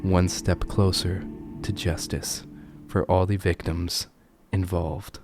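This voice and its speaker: A man narrating a scripted horror commentary video as its host, with a grave, deliberate storytelling delivery. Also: low-pitched, flat delivery. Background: music under the voice.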